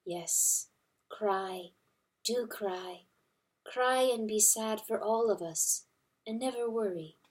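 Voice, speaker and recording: A woman voicing a gentle motherly hen who soothes frightened mice in a murmur. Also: on the high side, unhurried, recorded from a distance, natural voice. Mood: soothing.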